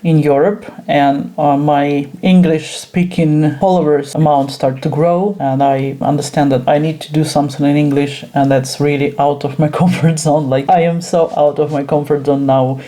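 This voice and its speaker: Hesitant Russian-accented woman, mellow voice. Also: low voice, fairly even intonation. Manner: nervous, hesitant, frequent pauses. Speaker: esoteric teacher. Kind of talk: personal vlog monologue. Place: her home work room by an altar.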